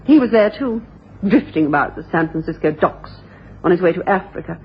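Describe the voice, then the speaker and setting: An actress playing a bitter, stubborn middle-aged sister in a radio drama, speaking with emphasis and indignation. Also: female voice, lowish voice, expressive, a little fast, breathy edge, exaggerated voice. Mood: dismissive, reluctant. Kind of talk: 1980s radio drama acting. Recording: dull old radio broadcast, faint noise.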